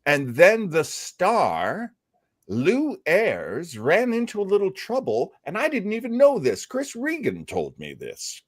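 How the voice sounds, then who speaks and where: A middle-aged or older American man casually hosting a discussion, measured and halting, often pausing mid-sentence. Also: sits high, huge pitch swings, no echo, studio quality. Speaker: host of an old-time radio discussion show.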